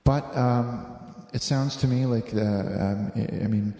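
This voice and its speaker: A man hosting a live religious call-in show, speaking in a halting, hesitant, earnest way. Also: mid-range voice, vocal fry, mellow. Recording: echoey, reverberant room.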